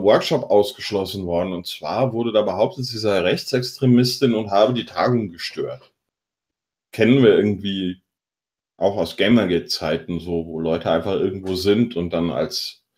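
Halting man reading out news. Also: on the low side, darkish tone. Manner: halting, reads from notes with many pauses. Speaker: podcast co-host. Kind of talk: casual news commentary.

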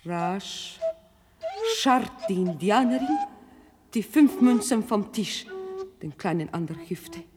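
A woman narrates a literary radio play at an extremely slow, deliberate pace. Her mid-pitched voice sits in a long reverb, with music playing clearly behind her. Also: expressive. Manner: measured, deliberate phrasing with pauses.

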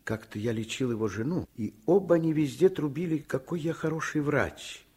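An actor playing a kindly, stubborn middle-aged doctor and father, husky and courteous, in a radio drama. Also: fairly high pitch, normal speed. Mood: determined. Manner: gentle, repeats words, courteous. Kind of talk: Soviet radio drama acting.

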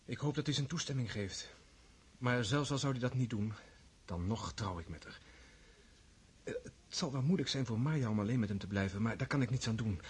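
A man's voice: medium pitch, lively intonation, a little fast, slightly rough. An actor playing an earnest, lovestruck prince in his thirties in a radio play, fervent and sometimes hesitant. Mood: earnest, resolute.

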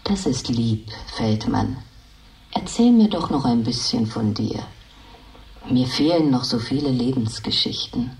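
An earnest, kindly talking machine in a German radio drama. The delivery is slow and careful, with slips from a faulty memory. The voice sits slightly away from the microphone. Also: female voice, a bass voice, big pitch swings, darkish tone, far from the mic, full character voice, low-quality recording. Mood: gentle, earnest. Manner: slow, garbles words and corrects itself.

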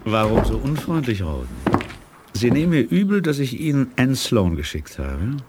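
An actor playing a shrewd, self-assured colonel in a 1980s German radio play, talking in a deliberate, knowing manner. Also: male voice, medium pitch, big pitch swings, noisy background, natural voice. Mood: wry, knowing.

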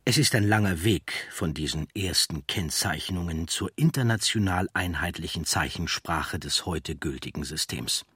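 A man's voice: sits low, expressive, normal speed, hardly any pauses, a bit bright, slightly rough, dry recording, clean studio sound. A man reading scripted narration for a documentary audiobook.

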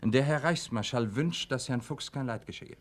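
An actor plays a lieutenant in a radio play, a man with a mid-pitched voice. He is formal and officious, pressing his point in clipped phrases. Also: moderate pace, natural voice. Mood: formal, insistent. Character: formal, insistent male lieutenant from a Nazi ministry. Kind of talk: German radio play acting.

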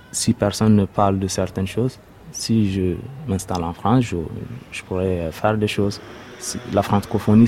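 A young man from Sudan, native Arabic speaker, giving a hesitant personal testimony with many pauses. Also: on the low side, mellow, a little hiss or hum. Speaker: interviewee, refugee.

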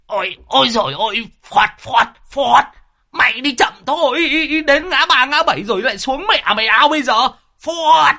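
A man voicing a panicky, cursing old male village shaman in a shrill, screeching, whiny voice. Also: animated, normal speed, exaggerated voice. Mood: panicked. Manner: frantic yelling and scolding.

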